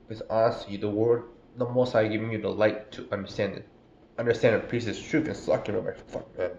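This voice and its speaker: Congested man, low voice, casual profane preacher, echoey lo-fi room. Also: steady tone, a little fast, gravelly, a little mellow, a little hiss or hum. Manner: slangy and profane, drawls "bro" and "man". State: stuffy, congested from a cold. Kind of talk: casual street-style sermon.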